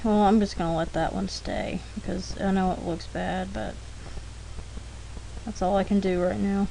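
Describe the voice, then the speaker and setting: A woman casually telling stories as she narrates an art demonstration, unscripted and rambling, with halting self-corrections. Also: mid-range voice, steady tone, mellow. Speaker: artist narrating a drawing demonstration. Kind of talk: casual unscripted storytelling over an art demo. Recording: clearly noisy homemade recording.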